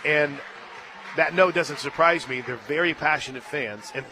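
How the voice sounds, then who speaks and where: An American man working as a radio play-by-play announcer, mixing animated game calls with casual conversational banter. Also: normal speed, noisy background. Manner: animated calls that swell to shouts on big plays, conversational between pitches. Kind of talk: live sports commentary with casual banter. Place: radio broadcast booth at a ballpark.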